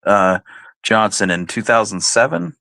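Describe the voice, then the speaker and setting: A man co-hosting a radio show, casually discussing and asking questions in a measured, reflective way. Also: on the low side, animated, gravelly, pushed and bright, no echo.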